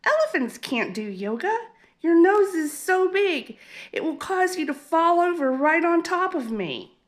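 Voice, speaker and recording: A woman's voice: very high-pitched, very animated, unhurried, voice pushed up, exaggerated voice. A woman voicing a small, fussy female mouse in a children's storybook. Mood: complaining. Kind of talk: children's storybook read-aloud.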